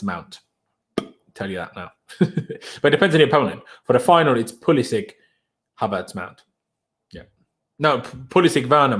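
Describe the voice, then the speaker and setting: A young man with a German accent, a native German speaker, hosting a casual football live stream and answering viewer questions in a chatty, animated way. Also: mid-range voice. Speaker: football channel host.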